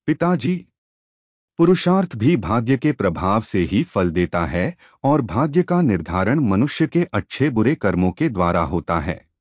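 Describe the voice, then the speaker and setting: Man reading as humble, devout young princess, animated. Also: on the low side, measured pace, dry recording, muffled recording. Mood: humble, earnest. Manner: modest, earnest, formal.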